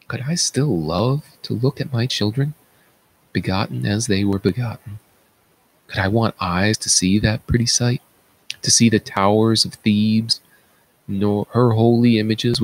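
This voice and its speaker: A man's voice: lowish voice, gravelly, slightly rough, exaggerated voice, rough recording. A man playing an anguished, self-loathing blinded king in a theatrical, declamatory reading of Greek tragedy. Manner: declamatory, tormented, dramatic.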